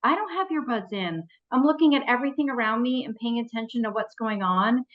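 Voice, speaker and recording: A woman's voice: a little high, animated, brisk. An American woman from New Jersey sharing casually on an online call with dull, lo-fi sound. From New Jersey, United States. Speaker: community member sharing.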